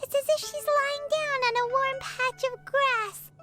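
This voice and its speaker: A woman voicing a tiny floating girl companion who is excitable and refers to herself by name. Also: extremely high pitch, unhurried, faint music behind, heavily acted. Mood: curious. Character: tiny floating girl companion, chirpy, talks in third person.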